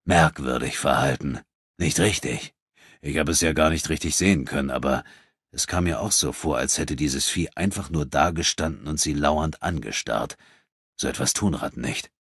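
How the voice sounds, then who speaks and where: A man voicing a worried, puzzled adventurer in an audiobook, speaking gravely and with some hesitation. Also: normal speed, fairly bright tone, no echo, studio quality, full character voice. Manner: hesitant, low-key, grave. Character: worried, puzzled male adventurer companion, serious. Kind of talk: audiobook character dialogue.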